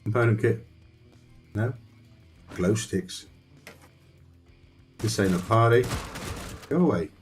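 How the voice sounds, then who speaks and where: Low-voiced man streaming a game. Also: slow, pauses often, soft background music. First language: Dutch. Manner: rambling, thinks aloud, talks to chat. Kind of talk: casual live-stream commentary while playing.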